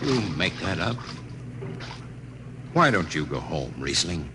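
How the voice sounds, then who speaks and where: Casting: weathered spaceman narrator, hard-boiled 1950s radio delivery, highly animated pitch, old noisy broadcast. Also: slow, full character voice. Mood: gruff, blunt. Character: weathered spaceman narrator, gritty, wistful old shipmate of a singer.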